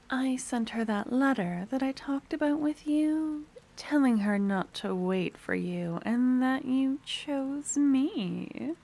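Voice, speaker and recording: A woman voicing a possessive, obsessive merchant girl in an ASMR fantasy roleplay, doting and sweetly menacing, often slipping into breathy half-whispers. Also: sits high, dark tone, heavily acted.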